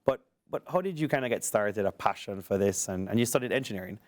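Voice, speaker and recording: A man's voice: on the low side, animated, quick pace. A man hosting a casual interview, conversational and loose, leaning on filler phrases. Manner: conversational, leans on fillers like "you know". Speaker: interview host.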